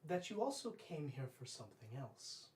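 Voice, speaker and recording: A man in his thirties running a tabletop roleplay as game master, speaking formally and deliberately in character. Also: a little high, very animated, a little mellow. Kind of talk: tabletop roleplay narration, speaking as a fae ruler. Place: indoor room, live-streamed tabletop game. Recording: roomy, distant mic, faint noise.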